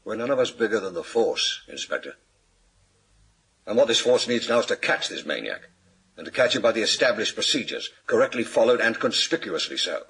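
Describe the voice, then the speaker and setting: An actor playing a pompous, image-obsessed senior British police chief in a radio thriller, formal and given to pauses. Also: male voice, on the low side, sing-song, sharp tone, slight background noise, full character voice, low-quality recording. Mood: stern, reprimanding. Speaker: actor in a radio crime drama.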